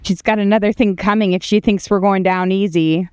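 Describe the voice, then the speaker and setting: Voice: medium pitch, fairly even intonation, a little fast, clear, resonant tone, no room sound, lightly acted. An actor playing a hero's cocky, impatient inner voice in a video game, blunt, defiant and eager for a fight. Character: cocky, impatient, battle-hungry inner voice of a hero.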